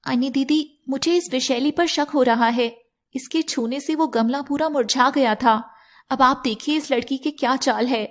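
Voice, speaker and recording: Casting: loyal younger serpent sister played by a woman, very earnest, pleading, high voice, cartoon story. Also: normal speed, close to an everyday voice. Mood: suspicious.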